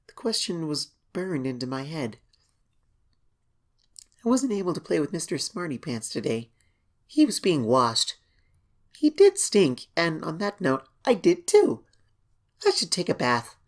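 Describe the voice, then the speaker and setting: Woman voicing an anxious young filly, low-toned. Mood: puzzled, then uneasy. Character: anxious young filly pony, writing her diary. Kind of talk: dramatic first-person horror story reading.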